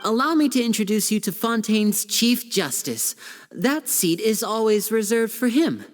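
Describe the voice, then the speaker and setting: A man's voice: high-pitched, sing-song, unhurried, faint music behind. An actor playing a charming, theatrical young male stage magician. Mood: polite. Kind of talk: video game cutscene dub. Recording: long reverb.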